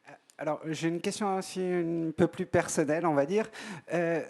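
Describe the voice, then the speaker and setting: Polite interviewer, somewhat high voice. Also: male voice, fairly even intonation, normal speed, creaky, darkish tone. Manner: polite, careful questioning. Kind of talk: conference interview questions.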